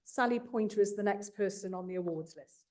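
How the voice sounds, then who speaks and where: A woman giving a formal awards speech, enthusiastic and warm, with small asides to the audience. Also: mid-range voice, brisk. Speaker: awards presenter.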